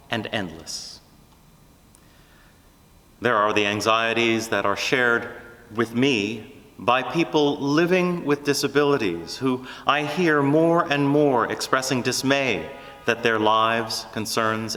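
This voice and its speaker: A man preaching a scripted sermon in an earnest, deliberate manner. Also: medium pitch, sharp tone. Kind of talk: sermon, measured scripted delivery. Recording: long hall reverb.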